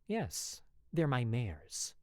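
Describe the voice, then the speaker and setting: A performer voicing a gentle, persistent older gentleman with a soft, melodic voice in an audio story. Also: mid-range voice, huge pitch swings, extremely slow, no room sound, clean studio sound, full character voice. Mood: calm, gentle.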